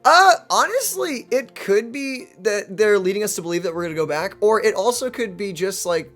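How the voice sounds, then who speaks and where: A man's voice: high-pitched, animated, moderate pace, a bit bright, soft background music. A man giving casual, playful let's play commentary, thinking aloud in an informal way.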